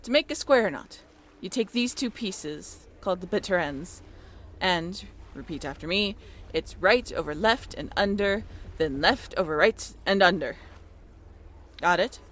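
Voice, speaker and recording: A woman's voice: fairly low pitch, animated, a little slow, fairly bright tone, pitched below the performer's own voice. A woman playing a salty female bosun in a roleplay audio drama, talking in theatrical speech with a teasing, instructing manner. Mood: brisk, instructing. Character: salty female bosun of a haunted sailing ship.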